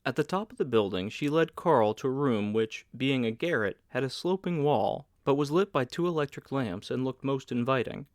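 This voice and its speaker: A man's voice: mid-range voice, animated, moderate pace, few pauses, dry recording, clean studio sound. A man reading literary fiction aloud as an audiobook narrator, in scripted narration.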